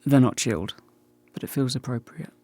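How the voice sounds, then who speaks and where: A man's voice: mid-range voice, fairly quick, dark tone, dry recording, close to an everyday voice. A wry, self-deprecating man in a dorm played by a male actor for a radio play. He speaks in a mid-range voice with a hesitant, conversational manner, recorded close and dry. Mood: gentle offering. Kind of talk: naturalistic radio play acting.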